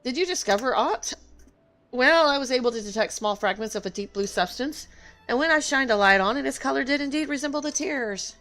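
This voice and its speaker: A woman's voice: high voice, lively intonation, a little fast, fairly bright tone, faint music behind. A woman game streamer talking casually on a livestream and reading game dialogue aloud. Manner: opinionated, emphatic.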